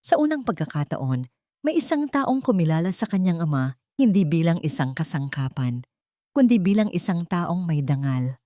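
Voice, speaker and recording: A woman reading scripted dramatic fiction as a narrator, in a solemn, deliberate way. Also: fairly low pitch, sing-song, mellow, dry recording, clean studio sound. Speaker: story narrator.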